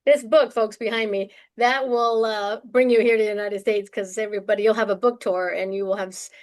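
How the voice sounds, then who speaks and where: A woman's voice: mid-range voice, fairly even intonation, a little fast. A woman hosting an interview, enthusiastic and bubbly as she welcomes and questions her guest. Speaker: interview host.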